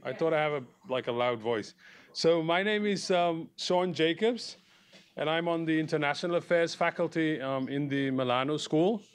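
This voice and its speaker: A man's voice: on the high side, normal speed. A man from South Africa, a faculty host, introduces a film screening to an audience, projecting his voice because the mic is not working and speaking loosely off the cuff with restarts. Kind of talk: casual talk. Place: large lecture hall. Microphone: far room mic. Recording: echoey lecture hall, far from mic, noisy.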